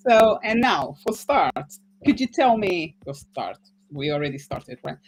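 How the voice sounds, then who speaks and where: A woman's voice: lowish voice, big pitch swings, a little slow. A woman from Portugal hosting a casual podcast interview, enthusiastic, laughing often, her English sometimes slipping. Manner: enthusiastic, laughs often, speaks non-native English with slips. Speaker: podcast host.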